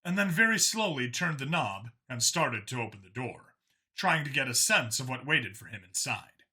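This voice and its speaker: Bright, forceful male story narrator. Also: medium pitch, lively intonation, moderate pace, husky. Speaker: audiobook narrator.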